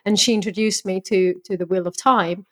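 A woman's voice: mid-range voice, steady tone, a little fast. A woman in her thirties from Brazil, a native Portuguese speaker with a southern English accent, chatting and laughing easily as a podcast guest. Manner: chatty and laughing, with many restarts. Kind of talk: casual chat.

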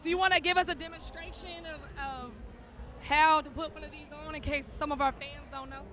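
A woman's voice: high voice, steady tone, brisk, sharp tone, a little hiss or hum. A woman hosting interviews at a crowded expo, flirty, teasing and upbeat. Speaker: host and interviewer. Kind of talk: playful interview. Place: crowded adult expo hall.

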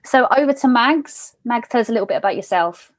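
A woman hosting an interview, thanking guests and handing over to the next speaker in a brisk, upbeat way. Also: on the high side, expressive, slight background noise. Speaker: host.

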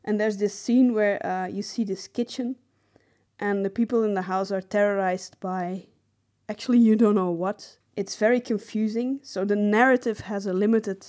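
A woman co-hosting a podcast, discussing film casually and analytically, often pausing mid-phrase to find her words. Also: mid-range voice, steady tone, darkish tone. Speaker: podcast co-host.

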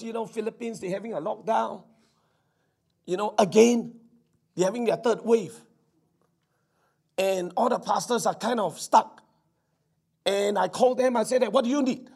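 A middle-aged man with a Singaporean English accent, preaching and praying fervently, his voice hoarse from preaching. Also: very high voice, darkish tone. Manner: fervent, repetitive, peppered with "Amen". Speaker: pastor. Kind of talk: sermon with prayer. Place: church service.

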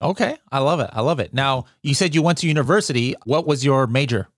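A man's voice: mid-range voice, animated, fast talker, dry recording. A man hosting a podcast, upbeat and joking, often tripping over his words as he asks questions. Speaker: podcast host. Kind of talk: casual podcast interview.

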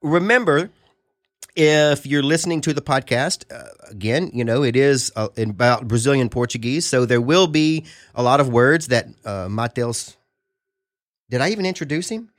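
A man hosting a podcast, talking in a loose, rambling way. His voice sits at an ordinary middle pitch, and he circles back on himself with fillers and restarts. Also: normal speed. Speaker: podcast host. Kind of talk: casual podcast chat.

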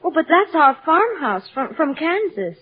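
Radio fantasy heroine: wide-eyed young farm girl, very high, animated, earnest and polite, tinny vintage recording. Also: unhurried. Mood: bewildered. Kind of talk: 1930s-50s radio drama acting. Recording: tinny old radio broadcast.

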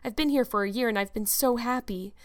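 A woman's voice: a little high, brisk, pitched above the performer's own voice, natural voice. A woman voicing an eager, imaginative young girl in a storybook, talking in an effusive, dramatic way. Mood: wistful, happy.

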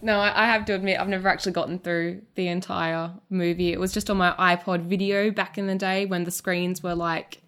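A woman's voice: fairly low pitch, little pitch movement, a little fast, few pauses, a bit bright, clean tone. A woman hosting a podcast in a casual, conversational style. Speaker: podcast host. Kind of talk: casual chat.

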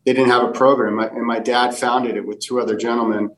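A man's voice: medium pitch, little pitch movement, a little fast, a bit bright, recorded from a distance, rough recording. A man in his fifties from the United States, answering interview questions in a casual, rambling way with many fillers and restarts. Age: 50s. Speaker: soccer technical director.

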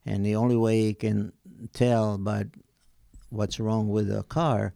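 An Italian man of eighty with an Italian accent, telling his life story in halting, hesitant English as an interview guest. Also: fairly low pitch, flat delivery, creaky, dark tone, dry recording, studio quality. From southern Italy. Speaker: podcast guest, retired tailor.

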